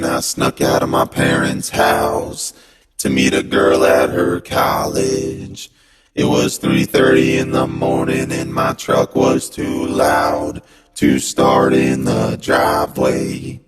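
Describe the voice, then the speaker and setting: A man tells a personal story in an extremely deep, effect-altered voice. His delivery is slow and crackles with gravel, while loud music plays behind him. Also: expressive. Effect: pitch-lowering voice effect. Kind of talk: personal anecdote, slow and drawn-out. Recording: loud music over processed voice.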